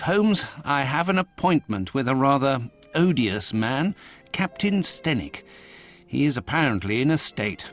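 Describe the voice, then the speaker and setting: An actor playing a genial, busy Victorian physician in a video game, chatty and formal in an old-fashioned way. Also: male voice, medium pitch. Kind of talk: video game cutscene acting.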